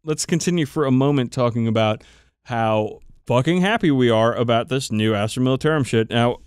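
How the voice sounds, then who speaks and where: A man's voice: mid-range voice, brisk, smooth, dry recording, clean studio sound. A man co-hosting a podcast, chatting casually and swearing freely as he tells stories. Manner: profane, animated storytelling about tabletop games. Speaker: podcast co-host. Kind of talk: casual chat between friends.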